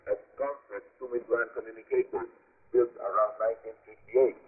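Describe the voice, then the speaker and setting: A man with a Cuban accent and native Spanish, hosting a scripted radio program in a deliberate, formal announcer style with emphatic phrasing, heard over shortwave. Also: mid-range voice, husky. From Cuba. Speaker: radio host. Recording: narrow, muffled shortwave radio reception. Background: shortwave broadcast received on a radio.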